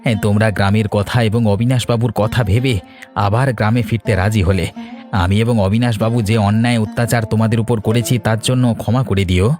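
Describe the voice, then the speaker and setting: A man's voice: on the low side, fairly even intonation, fast talker, a little mellow, soft background music, close to an everyday voice. An actor playing a landlord's loyal, repentant henchman in a moral-tale cartoon, explaining things earnestly. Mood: apologetic.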